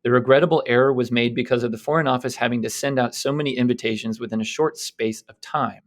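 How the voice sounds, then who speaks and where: A man's voice: medium pitch, steady tone, fast. A man co-hosting a podcast, casually retelling news stories in a bantering talk style. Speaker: co-host presenting a news quiz segment.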